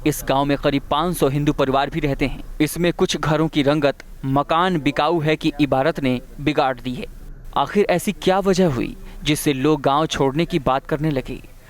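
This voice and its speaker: A man's voice: on the high side, fairly even intonation, normal speed. A man narrating a scripted television news report as its reporter.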